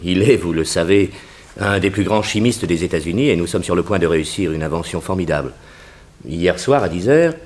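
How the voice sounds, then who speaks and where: Man as earnest laboratory assistant, low voice, polite, explanatory, proud, sixties radio drama. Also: a little mellow, natural voice. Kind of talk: 1960s French radio detective serial acting.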